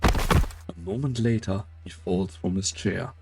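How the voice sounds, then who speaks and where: Low-voiced male actor as grave storyteller for a dark game tale, husky and gravel-edged. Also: slow, faint music behind, exaggerated voice. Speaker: story narrator. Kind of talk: scripted dramatic narration.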